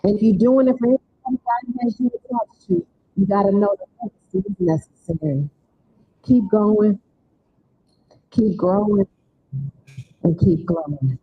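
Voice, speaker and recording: A woman's voice: moderate pace, pauses often. A woman giving an earnest, preacherly personal testimony as a motivational speaker at a live community event. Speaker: event speaker, bereaved mother. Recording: dull live recording, faint rumbling noise.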